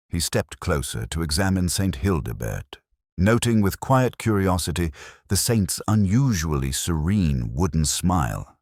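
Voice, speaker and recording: Super deep guy reading a wry mystery story, dry measured delivery, real animated pitch, no room sound. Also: no room sound. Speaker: narrator. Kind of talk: scripted narration of a wry British village mystery.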